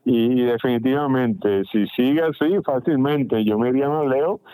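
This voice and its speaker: A middle-aged man with a Puerto Rican Spanish accent speaks in a warm, mellow voice. He pauses, repeats words and fills gaps with "eh" and "este". The sound is dry and close, like a radio studio. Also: mid-range voice, no echo, poor audio. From Puerto Rico. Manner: halting, many restarts and fillers. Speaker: baseball general manager. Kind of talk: radio interview answers.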